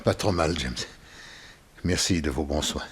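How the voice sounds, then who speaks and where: An actor playing a jealous, desperate husband with a violent temper in a vintage radio drama, volatile and menacing. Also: male voice, a bass voice, a little slow, breathy edge, exaggerated voice. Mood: weary. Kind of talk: 1960s French radio drama acting.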